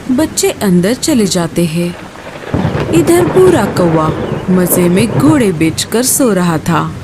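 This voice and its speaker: A woman narrating a children's cartoon story in an expressive, singsong way and speaking to young viewers. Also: mid-range voice.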